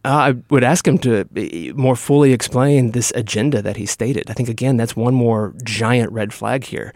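A man's voice: mid-range voice, moderate pace, darkish tone, no room sound, studio quality. A man giving interview answers as a state legislator.

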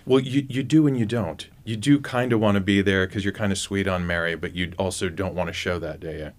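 A man's voice: low-pitched, fairly quick. A man hosting a conversational interview, asking inquisitive questions with some hesitant restarts. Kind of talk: conversational radio-style interview.

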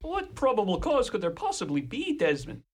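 Hapless Irish accomplice, radio play, medium pitch, swinging intonation, sheepish, defensive. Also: female voice, wildly swooping pitch, normal speed, a little mellow. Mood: protesting. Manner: hesitant, defensive.

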